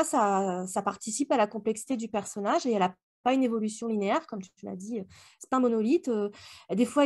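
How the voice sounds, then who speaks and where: Female co-host, higher register, dry close sound, thoughtful conversational delivery with rhetorical questions. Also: no room sound. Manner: reflective, poses rhetorical questions. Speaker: book club podcast co-host. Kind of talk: casual book discussion chat.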